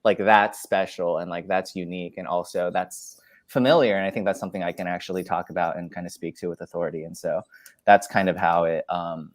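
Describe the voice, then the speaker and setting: Low-voiced young Californian man. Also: fairly even intonation, fairly quick, no room sound. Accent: Californian American. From San Francisco Bay Area, US. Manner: rambling, tentative, peppered with "like" and "kind of". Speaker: filmmaker, panel guest. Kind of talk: casual, reflective Q&A answer. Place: dim room at home.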